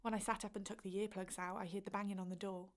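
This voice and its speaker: Actress as jumpy, imaginative woman, mid-pitched. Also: little pitch movement, fast, heavy background noise, natural voice. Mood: wry, recounting alarm. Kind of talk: dramatic monologue in a radio play.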